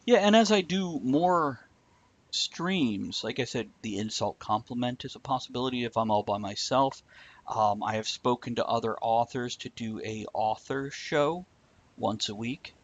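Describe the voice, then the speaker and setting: A man's voice: medium pitch, unhurried. A man hosting a live show in casual, irreverent chat, swearing freely as he goes. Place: room, live stream. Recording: muffled, with clear background noise.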